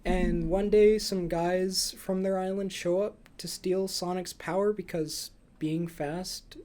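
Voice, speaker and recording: A man's voice: high-pitched, fairly even intonation, slow pace, mellow. A young man hosting a casual movie review podcast, chatting with dry, flippant humour. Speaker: podcast host.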